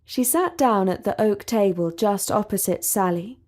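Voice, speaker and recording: A woman narrating a storybook for English learners, reading in a clear, measured way. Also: medium pitch, a little mellow.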